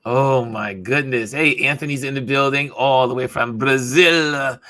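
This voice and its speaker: A man hosting a casual livestream chat in a chatty way, his voice hoarse from talking. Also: medium pitch, unhurried, pushed and bright, no echo. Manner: chatty, greets viewers by name. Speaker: talk show host.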